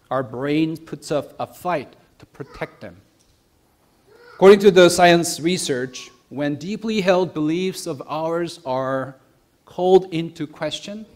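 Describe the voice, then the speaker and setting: A young man preaching a sermon, speaking deliberately in short phrases with emphatic stresses. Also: a little high. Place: church sanctuary.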